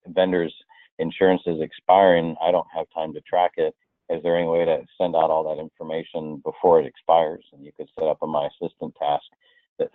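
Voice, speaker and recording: Man, very deep voice, software trainer, explanatory conversational delivery, dry muffled phone-quality sound. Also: no echo. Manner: explanatory, illustrates with hypothetical quotes. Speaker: software trainer presenting a webinar. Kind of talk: conversational slide-by-slide software walkthrough. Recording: muffled, tinny phone-like line.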